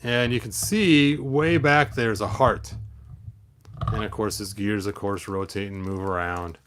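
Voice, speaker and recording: A man's voice: on the low side, unhurried, a bit bright, a little hiss or hum. A man hosting a hobby vlog in casual, digressive chat, often half talking to himself as he handles things. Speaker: toy collector, video host.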